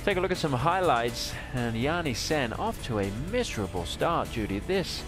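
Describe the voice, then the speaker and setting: A man calling a women's golf broadcast as play-by-play announcer, with a smooth, measured delivery. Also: medium pitch, sing-song, music in the background. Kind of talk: TV golf commentary.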